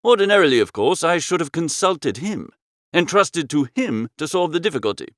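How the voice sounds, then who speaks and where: A man narrating a comic first-person audiobook in a breezy, theatrical, chatty gentlemanly style. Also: fairly high pitch, sing-song, moderate pace, no room sound, clean studio sound.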